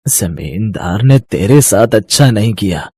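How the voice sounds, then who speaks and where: A man voicing a kindly old village uncle in a moral story, earnest and secretive, speaking slowly and deliberately. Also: lowish voice, animated, warm tone, slightly rough, no echo, clean studio sound. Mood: wistful, secretive. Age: older. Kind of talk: Hindi moral story voice acting.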